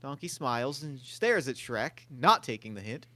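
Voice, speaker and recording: A man's voice: mid-range voice, very animated, moderate pace, pushed and bright, dry recording. A man reading stage directions aloud at a table read in a plain, matter-of-fact way.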